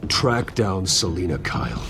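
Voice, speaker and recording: An actor playing a stern, brooding masked vigilante in a video game, terse and commanding. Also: male voice, sits low, big pitch swings, measured pace, creaky, exaggerated voice. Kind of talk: video game character acting.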